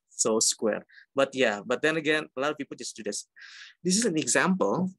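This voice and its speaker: A man with an Indonesian accent teaches a class in a medium-pitched voice. He is informal and jokey, slipping into acted-out quotes and asides and dropping in Indonesian words. The sound is dry, with very little room in it. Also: animated, moderate pace, fairly bright tone, breathy edge, no room sound. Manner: mixes Indonesian words into English. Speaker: university lecturer. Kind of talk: informal interactive lecture.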